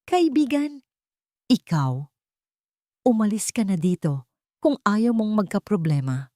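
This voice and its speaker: A woman's voice: mid-range voice, big pitch swings, takes it slowly, mellow, no echo, studio quality, voice pushed up. A woman with a Filipino accent voicing a big male security guard who is hard and dismissive. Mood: stern, scornful.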